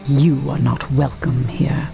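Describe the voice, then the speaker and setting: A woman playing a stern, haughty Night Elf high priestess in a video game cinematic, formal and imperious. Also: steady tone, slow pace, vocal fry, over music, heavily acted. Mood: impatient, hostile.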